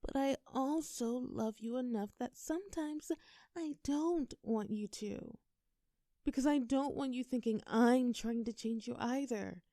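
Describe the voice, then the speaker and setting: Casting: young woman acting as a doting, teasing girlfriend for intimate audio roleplay; mellow tone, very dry close sound. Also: fairly high pitch, measured pace, no room sound. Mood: affectionate, insistent. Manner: playful, coaxing, talking tenderly to a partner.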